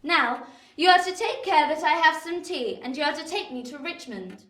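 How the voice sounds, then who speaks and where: Posh aloof young lady, high voice, bossy and teasing, echoey, victorian audio drama. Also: animated, normal speed, pushed and bright. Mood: coolly teasing. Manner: commanding, coolly teasing. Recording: roomy, echoing sound.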